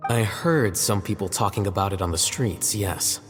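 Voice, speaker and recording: A man's voice: low-pitched, lightly acted. A man playing a dry, reserved scholar. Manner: calm, measured and dry. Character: cool, reserved young male scholar. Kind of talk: video game voice acting. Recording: reverberant game scene with faint music.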